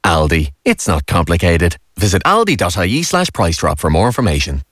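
A man voicing an energetic supermarket ad, punchy and enthusiastic. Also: low-pitched, a little fast, dry recording, studio quality. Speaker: ad voice-over.

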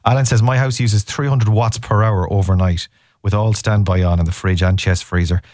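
A man's voice: on the low side, fairly even intonation, moderate pace, darkish tone, no room sound, clean studio sound. Rambling radio host man. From Ireland, Cork. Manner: rambling, jokey, self-interrupting. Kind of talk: casual radio chat. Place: radio studio. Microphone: studio microphone.

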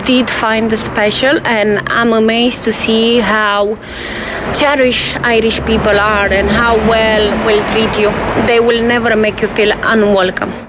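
Romanian woman over loud traffic. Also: a little high, level tone, unhurried.

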